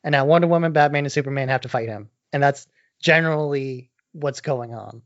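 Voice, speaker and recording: A man's voice: medium pitch, fairly quick, no echo. Man explaining casually, dry muffled recording. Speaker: podcast co-host.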